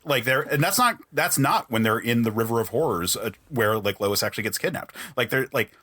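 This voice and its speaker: A man's voice: medium pitch, animated, brisk, sharp tone, dry recording. A man hosting a podcast, chatting casually in a rambling way full of filler words. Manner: rambling, peppered with "like". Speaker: podcast host.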